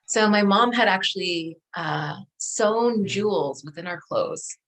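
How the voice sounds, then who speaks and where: A middle-aged woman from Afghanistan and Pakistan, a native Dari speaker, tells her personal story in a measured, reflective way as an interview guest. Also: mid-range voice, a bit bright, low-quality recording.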